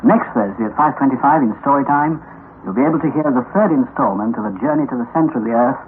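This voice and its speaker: British guy doing formal radio announcements, medium pitch, tinny old broadcast with a bit of hiss. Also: fast talker. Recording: thin band-limited old radio recording, hiss.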